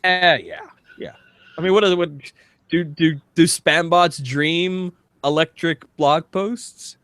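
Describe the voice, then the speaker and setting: A man's voice: a little high, steady tone, takes it slowly, fairly bright tone, clear, resonant tone, dry recording. A man co-hosting a podcast in casual chat, rambling and hesitant, with dry self-deprecating humour. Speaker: podcast co-host.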